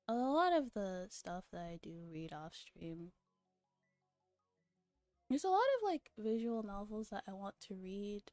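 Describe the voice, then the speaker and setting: Casting: young female streamer, soft mellow tone, unhurried rambling, giggly and teasing, dry close sound with music behind. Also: medium pitch, no echo. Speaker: livestreamer. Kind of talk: casual livestream chat with viewers.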